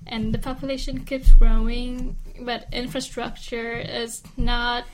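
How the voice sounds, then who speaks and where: Woman, slightly high voice, conversational discussion, noticeable background noise. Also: level tone, a little slow. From Vietnam. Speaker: discussion participant. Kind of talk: informal discussion in a second language. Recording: clearly noisy background with clicks.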